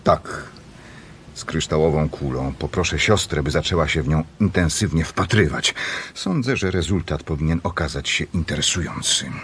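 Very deep-voiced, intense doctor. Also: male voice, fairly bright tone, a little hiss or hum. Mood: intrigued. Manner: measured, persuasive, formal. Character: secretive, intense doctor studying occult visions. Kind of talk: radio drama acting.

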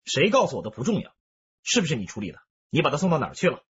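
A man's voice: on the high side, wildly swooping pitch, racing, a bit bright, husky, dull audio, full character voice. An actor playing a shrewd male police detective in a radio drama, with a wry, probing manner.

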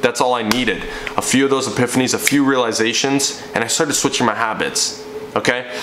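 A man's voice: medium pitch, fairly quick, fairly bright tone, slight background noise. Emphatic young man in echoey room. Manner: emphatic, preachy, talks with his hands. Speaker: fitness coach. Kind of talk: personal story to camera. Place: living room indoors. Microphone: camera in front, seated framing. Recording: echoey room with faint ambience.

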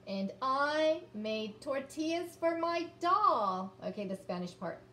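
Slow-paced woman teaching, roomy distant sound. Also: high voice, sing-song, pushed and bright. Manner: deliberate, sounds words out, encouraging. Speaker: early-grades teacher. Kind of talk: children's phonics lesson and read-aloud. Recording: roomy, distant mic, background noise.

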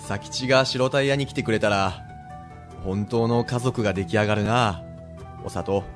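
A man's voice: fairly low pitch, moderate pace, faint music behind, lightly acted. A man playing a kindly husband and shop master in a radio drama. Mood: tender.

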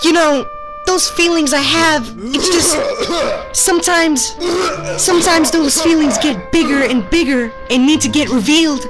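A woman's voice: high-pitched, measured pace, loud music behind the voice, poor audio. A woman playing a chatty, flustered teenage girl adventurer in an amateur comedy dub of a fantasy game. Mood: shyly confessing.

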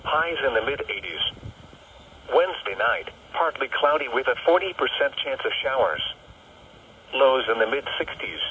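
A man's voice: mid-range voice, big pitch swings, moderate pace, sharp tone, slightly rough, lo-fi sound. A man reading a scripted weather forecast, heard through a small radio speaker. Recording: small radio speaker, distant, faint noise.

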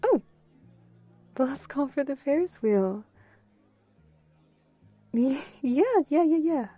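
A woman performs a playful, affectionate young girlfriend in an audio roleplay. Her voice is a little high yet mellow, with a relaxed, unhurried pace. She is bubbly and giggly, speaking intimately to her partner. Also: no echo, soft background music. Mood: giddy, affectionate. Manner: giggly, breaks into short excited repeats.

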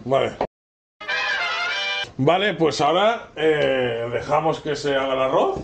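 A man's voice: on the high side, lively intonation, slow pace, gravelly, echoey room, soft background music. A man with an accent from Spain hosting a casual cooking video in a home kitchen, chatty and curious, asking eager questions while recorded on a 360 camera.

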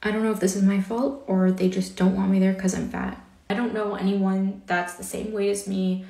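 A woman's voice: mid-range voice, flat delivery, normal speed, dark tone. A woman recounting a personal experience in a casual storytime style. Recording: roomy, echoing clip.

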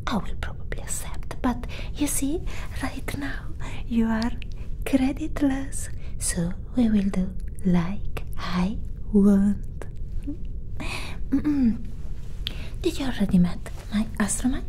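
A woman's voice: a little high, slight background noise. Woman whispering as a greedy alien smuggler. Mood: sly teasing. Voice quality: soft whisper. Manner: teasing, drawn-out, with murmured hums and little laughs. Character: greedy, distrustful female alien smuggler, mercenary and teasing. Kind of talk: ASMR roleplay.

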